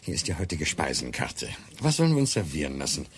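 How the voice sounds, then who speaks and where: An actor playing a calm, evasive fugitive doctor in a German radio drama, smooth-talking and reassuring. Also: male voice, very deep voice, fast, heavily acted. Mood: blithe.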